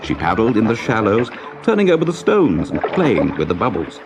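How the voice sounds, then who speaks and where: A man narrating a children's story in a measured, dramatic, expressive manner. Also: on the low side, music in the background, poor audio.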